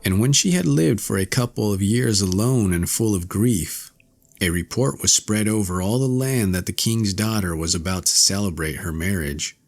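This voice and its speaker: A man narrates an old fairy tale in a fairly low voice. His reading is scripted and measured, in the manner of an audiobook storyteller, with music present. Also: gravelly.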